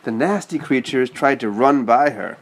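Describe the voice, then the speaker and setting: A man's voice: medium pitch, animated, moderate pace, low-quality recording. A man reading a children's story aloud and chatting patiently with a child, explaining as he goes. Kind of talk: read-aloud storytelling with casual asides to a child.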